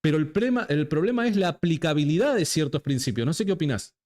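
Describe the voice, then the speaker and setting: Higher-voiced Argentine man hosting an interview. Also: lively intonation, fast, darkish tone. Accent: Argentine (Rioplatense) Spanish. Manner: affectionate address, colloquial, repeats words for emphasis. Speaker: interviewer, host. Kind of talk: casual conversational interview.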